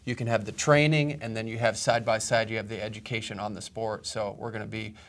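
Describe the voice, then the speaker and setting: A man from Iowa who runs a museum, guesting on an interview, speaking in a steady, proud, informative way. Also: fairly low pitch, brisk. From Iowa, USA. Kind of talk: casual interview.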